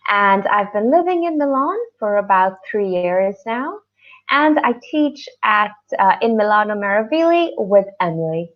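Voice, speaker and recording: Female webinar teacher from Pakistan, medium pitch, informal and a little hesitant, lo-fi call sound. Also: big pitch swings, normal speed. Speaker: English teacher co-hosting a webinar. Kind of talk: online lesson, informal explaining. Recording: dull, lo-fi online call audio.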